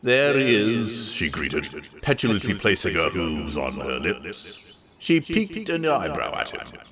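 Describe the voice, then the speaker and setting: Male comic narrator: low register, very animated, wry and theatrical, slightly distant recording. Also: a little slow, a crowd in the background. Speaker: story reader.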